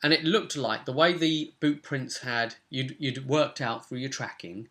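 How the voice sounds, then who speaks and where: Game master, young man, British, bright voice, casual tabletop session recap. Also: medium pitch, fairly even intonation, unhurried. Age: young adult. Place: small cluttered room at home.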